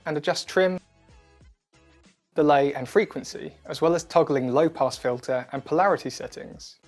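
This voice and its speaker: A man presenting a scripted product demo in a clear, methodical, instructional manner. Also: fairly high pitch, normal speed. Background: background music.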